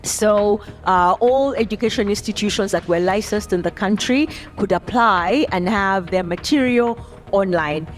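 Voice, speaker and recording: A woman from Uganda, speaking as a panelist in a thoughtful, unhurried way with many ums and uhs. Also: medium pitch, faint music behind. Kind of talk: panel discussion answer.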